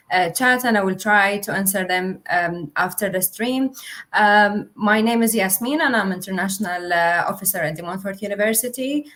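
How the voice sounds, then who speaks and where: A woman's voice: medium pitch, a bit bright. A young woman, a university officer, presenting a livestream with many fillers and restarts. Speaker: international officer.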